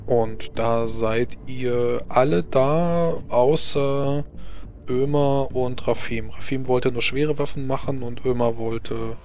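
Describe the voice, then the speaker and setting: A male game master with a medium-pitched voice narrates a tabletop role-playing session. He feels his way through the scene haltingly, thinking aloud as he describes it. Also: faint music behind.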